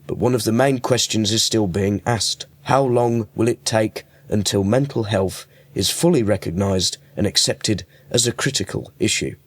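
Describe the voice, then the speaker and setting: A man's voice: lowish voice, moderate pace, hardly any pauses, no echo. A man, reading scripted links and questions as a radio presenter.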